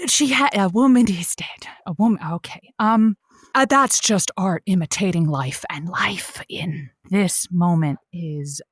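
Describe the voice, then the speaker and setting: A woman's voice: lowish voice, dry recording. Theatrical woman radio host, close dry sound. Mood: flustered, somber. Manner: dramatic, halting, effusive. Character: flustered, theatrical woman radio advice host, gushing and nosy. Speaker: call-in show host. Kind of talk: scripted audio comedy, radio host banter.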